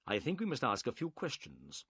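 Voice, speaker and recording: A calm, clever male detective, polite and quietly sure of himself, as a male reader plays him. His lines come brisk and assured, pitch moving in wide sweeps as in a storybook reading. The recording is dry and close. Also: breathy edge, no echo, heavily acted. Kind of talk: audiobook character voice.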